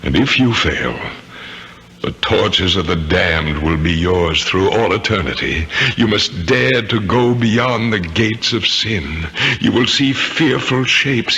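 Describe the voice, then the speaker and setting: Centuries-old tormented murderer's ghost: very low, deliberate, theatrical 1970s radio drama delivery. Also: male voice, sharp tone, breathy edge, full character voice. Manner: slow, solemn, theatrical delivery with dramatic repetitions. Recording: 1970s radio broadcast with music.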